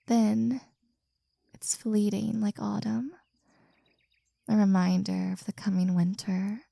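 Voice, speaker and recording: A woman performing an intimate scripted audio roleplay, talking softly and teasingly and often dropping into a whisper, close to the mic. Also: mid-range voice, vocal fry, no room sound.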